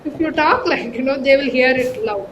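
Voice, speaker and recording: Woman, Tamil Indian accent, very rapid speech, highish voice, conversational interview, emphatic. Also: animated. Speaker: HR professional, interview guest. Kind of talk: conversational interview, code-switching storytelling. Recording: clearly noisy with low rumble.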